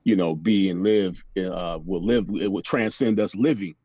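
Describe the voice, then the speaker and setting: Deep american guy chatting philosophy like a motivational speaker, says you know a lot, kinda lo-fi recording. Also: normal speed, warm tone, dry recording. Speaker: motivational speaker and interview guest. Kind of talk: casual reflective interview talk.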